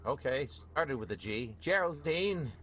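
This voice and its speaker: A man's voice: medium pitch, sing-song, a little slow, fairly bright tone. A man playing a breezy, slightly defensive small-plane pilot in an improvised radio comedy.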